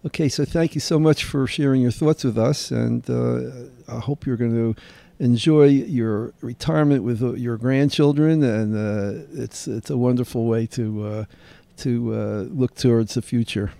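A man's voice: medium pitch, fairly even intonation, normal speed, creaky, dark tone, no room sound. A man hosting a radio show, interviewing guests in a hesitant way with many fillers.

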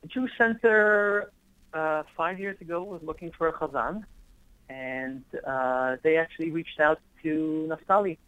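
A man's voice: fairly high pitch, unhurried, fairly bright tone, no echo. Earnest man on a muffled phone line. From Israel. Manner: fluent, earnest storytelling. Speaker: cantor, interview guest. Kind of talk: radio interview by phone. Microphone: telephone.

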